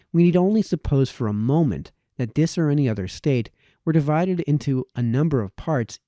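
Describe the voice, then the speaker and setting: Mellow, expressive man reading aloud. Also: medium pitch, dry recording, studio quality. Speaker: reader, narrator. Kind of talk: reading a formal historical essay aloud.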